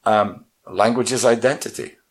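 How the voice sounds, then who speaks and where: A man's voice: lowish voice, fairly even intonation, moderate pace. A middle-aged man from Scotland who teaches languages, co-hosting a podcast, talking casually and hesitantly. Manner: hesitant, repeats words when starting. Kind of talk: casual conversational chat.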